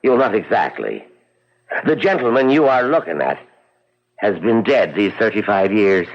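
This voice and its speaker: An actor playing a hesitant older Irish uncle in a radio drama, with an Irish accent and a halting, grave way of talking. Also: male voice, lowish voice, sing-song. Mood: grave, deliberate. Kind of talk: old-time radio drama acting. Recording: muffled old radio recording.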